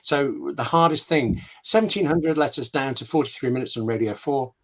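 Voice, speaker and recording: A British man speaking as a guest scriptwriter, giving conversational writing advice in an emphatic, discursive way with dramatic pauses. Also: medium pitch, sharp tone.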